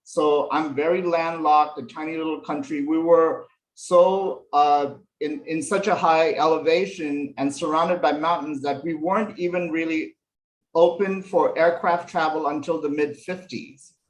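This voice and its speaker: A man's voice: a little high, fairly even intonation, a little slow, slight background noise, poor audio. A man from Nepal, a fashion illustration professor, telling stories from his life as an interview guest, with lots of fillers. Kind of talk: relaxed storytelling interview answers.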